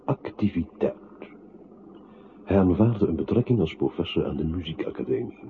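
Radio drama narrator, solemn, very low-voiced man, measured declamation, on a tinny noisy recording. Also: expressive, creaky. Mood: declamatory. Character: male narrator in a poetic choral radio play, solemn and grave. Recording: tinny, band-limited old radio-play recording with noise.

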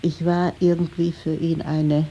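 Low, creaky older woman, very dark tone. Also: little pitch movement, a little slow, dull audio, a little hiss or hum. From Prague, Czech lands. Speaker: interviewed eyewitness recalling the past. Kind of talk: personal reminiscence in interview.

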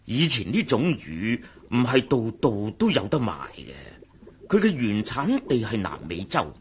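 A man with a Hong Kong Cantonese accent playing a chatty, excitable doctor who explains things eagerly in a radio drama. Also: mid-range voice, a little slow, a little hiss or hum. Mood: eager, explanatory. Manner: chuckling, explanatory, animated. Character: male doctor, chatty, excitable, fond of explaining his pet fish. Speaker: voice actor.

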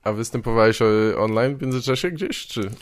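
A man hosting a casual conversation, speaking informally and haltingly, rephrasing as he goes. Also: mid-range voice, no echo, clean studio sound. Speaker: podcast host, interviewer.

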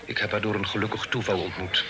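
An actor in a radio play voicing a polite, formal, obliging gentleman traveller. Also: fairly low pitch, flat delivery, fast talker, lightly acted. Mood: polite, guarded. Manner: polite, formal, well-mannered. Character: courteous, obliging gentleman traveller escorting a young lady. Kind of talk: Dutch radio play acting. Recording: noisy old radio recording, clicks.

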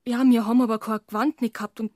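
An actress playing a timid, frightened young village maid with a Bavarian accent, speaking haltingly in a radio crime drama. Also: female voice, on the high side, fairly even intonation, darkish tone, no echo, clean studio sound, lightly acted. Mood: hesitant, confiding. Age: young adult. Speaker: farm maid, witness.

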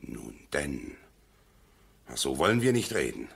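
Casting: sententious male go-between, bass-deep and rough-edged, preachy, seventies radio play. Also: sing-song, measured pace, fairly bright tone, exaggerated voice. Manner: sententious, preachy, emphatic phrasing. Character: fussy, moralizing male family go-between. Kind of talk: 1970s German radio play acting.

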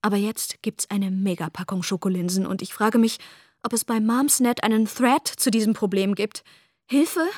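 A woman's voice: mid-range voice, normal speed, a little mellow, dry recording, clean studio sound, close to an everyday voice. An actress playing a frazzled mother telling her story in a wry, self-justifying monologue in a radio play. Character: frazzled middle-class mother.

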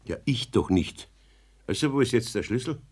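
A man's voice: mid-range voice, animated, moderate pace, darkish tone, husky. A man playing a grumbling, good-natured older craftsman with a Bavarian accent in a 1970s radio play. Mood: irritated. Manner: homely, grumbling dialect talk.

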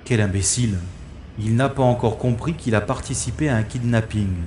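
A man playing a sardonic special agent in a science-fiction audio drama, with a mocking, theatrical and deliberate delivery. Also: mid-range voice, noisy background, lightly acted. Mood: musing, scornful. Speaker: lead actor and narrator of audio drama. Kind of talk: science-fiction audio drama acting with inner-monologue narration.

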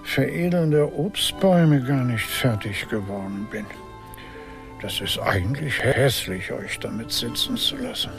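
An actor playing a genial, sheepish government agent in a German radio play, talking in a conversational, hedging way. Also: male voice, a little high.

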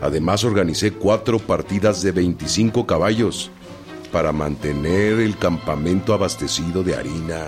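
A man plays a bold revolutionary colonel in a radio drama, with a low voice and a Mexican accent. He is frank and folksy, and music runs clearly behind him. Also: moderate pace, lightly acted. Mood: frank, insistent.

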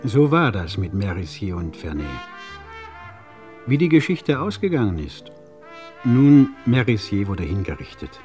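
A wry middle-aged commissioner in a 1950s radio crime drama. His voice is low and animated, full of rhetorical turns as he recounts a case to his listeners. It is a vintage radio recording with music. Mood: wry, self-satisfied. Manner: theatrical, rhetorical, addressing listeners in measured storytelling.

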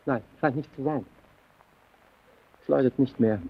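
An actor playing a nervous, rebellious keeper in old radio drama style, halting and repeating himself in agitation. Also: male voice, mid-range voice, creaky, breathy edge. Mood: evasive, hushed. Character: nervous, rebellious lighthouse keeper long cowed by his domineering colleague. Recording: dull, band-limited old radio recording.

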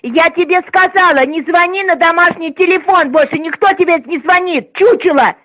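A woman's voice: very high voice, normal speed, a bit bright, a little hiss or hum. A woman in her sixties on a phone call, scolding and insistent, repeating herself. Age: 60s. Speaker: prank call target. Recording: muffled phone line.

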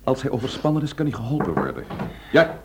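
An actor playing a firm, probing police inspector in a Dutch radio play, authoritative and clipped in manner. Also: male voice, mid-range voice, big pitch swings, fast talker, a little hiss or hum, natural voice. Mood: brisk, controlled.

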